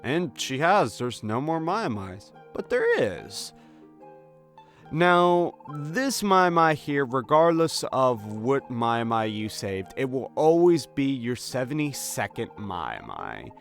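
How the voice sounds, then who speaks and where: A man talks through a video game in a casual, jokey way. His mid-pitched voice moves up and down in a lively manner, like someone chatting to viewers, with music playing behind him. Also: takes it slowly. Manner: jokey, conversational, slips into mock dialogue. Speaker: gaming video host. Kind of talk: casual game walkthrough commentary.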